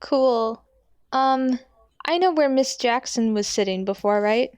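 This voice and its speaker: A woman's voice: on the high side, unhurried, a little mellow, smooth, dry recording, clean studio sound. A woman speaking casually as a player in a tabletop roleplaying game, describing her character's actions.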